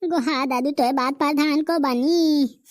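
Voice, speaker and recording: A young village grandson, voiced by a performer, sounds high and light. He speaks in a Bundelkhandi accent and swings between pleading and teasing. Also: steady tone, measured pace. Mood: wailing.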